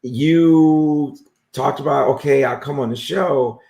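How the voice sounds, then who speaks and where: A man hosting a live interview show, talking in a relaxed, friendly way with lots of fillers. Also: a little high, takes it slowly, reverberant. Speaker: host. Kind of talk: casual interview on a live stream.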